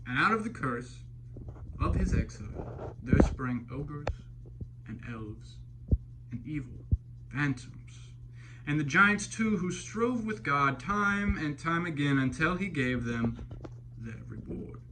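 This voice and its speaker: A man narrating epic verse as a dramatic storyteller, solemn and theatrical, with deliberate pauses and swells. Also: a little high, animated. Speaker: storyteller performing an epic poem. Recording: clearly noisy recording, background ambience.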